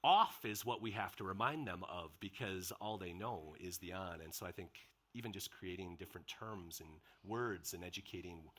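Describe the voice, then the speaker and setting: Middle-aged guy running a panel, low voice but bright and forceful tone. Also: normal speed, hardly any pauses. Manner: conversational, asks questions. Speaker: panel moderator. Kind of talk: panel discussion.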